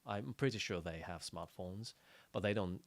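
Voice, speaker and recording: Low-voiced man chatting haltingly. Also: vocal fry, dry recording. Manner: halting, searching for words, repeats phrases. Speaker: podcast co-host. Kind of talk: casual unscripted chat.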